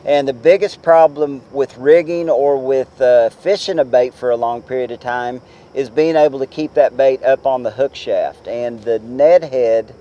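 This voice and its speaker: Relaxed chatty man outdoors, mellow voice. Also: medium pitch, normal speed, dull audio. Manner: relaxed, chatty, many "uh" and "you know" fillers. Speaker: pro angler giving fishing tips. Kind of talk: casual how-to talk to camera. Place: outdoors on a boat on a lake.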